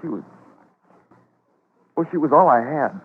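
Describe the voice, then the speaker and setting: An American actor playing a sincere, bereaved young brother in a classic radio drama, earnest and plain-spoken. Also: male voice, fairly high pitch, animated, unhurried, slightly rough. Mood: bewildered grief. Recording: muffled, hissy old radio recording.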